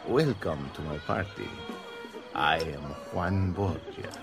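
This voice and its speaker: A man's voice: deep voice, slow, music in the background. A man playing an imperious, self-indulgent banker nobleman in a video game, with a languid, haughty manner.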